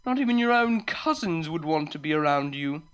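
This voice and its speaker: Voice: medium pitch, animated, normal speed, smooth, natural voice. A reader voicing a haughty, scornful upper-class unicorn mare in a story. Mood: mocking disdain.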